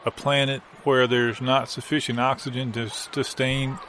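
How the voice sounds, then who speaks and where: A man playing an earnest, halting petitioner who reasons through questions in improvised comedy. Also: mid-range voice, level tone, a bit bright, a little hiss or hum, natural voice. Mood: puzzled.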